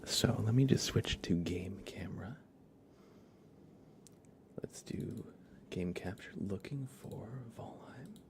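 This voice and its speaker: A man chatting casually on an ASMR game livestream in a soft, breathy voice that often slips toward a whisper, hesitant and rambling. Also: lowish voice, expressive, slow, pauses often. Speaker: livestream host. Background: music.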